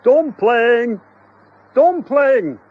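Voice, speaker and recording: A man's voice: sing-song, measured pace, phone-quality sound, exaggerated voice. A man voicing a panicky, bossy middle-aged wife who screeches and scolds. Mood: exasperated. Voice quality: shrill, screeching. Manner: bossy, exasperated.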